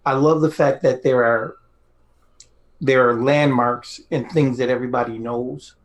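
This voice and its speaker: A man's voice: mid-range voice, fairly even intonation, unhurried. A man chatting casually as a podcast interviewer in a rambling, conversational way. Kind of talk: casual chat.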